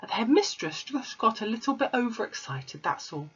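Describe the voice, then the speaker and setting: A woman voicing a gentle, kindly young schoolteacher in a storybook, speaking softly and patiently. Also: on the high side, big pitch swings, moderate pace, husky, pitched above the performer's own voice. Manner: soft and patient. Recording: slightly muffled recording.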